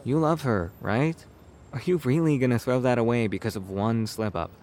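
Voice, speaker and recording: A man's voice: mid-range voice, moderate pace, a little mellow, a little hiss or hum, natural voice. A man playing a frank, coaxing friend in an audio drama.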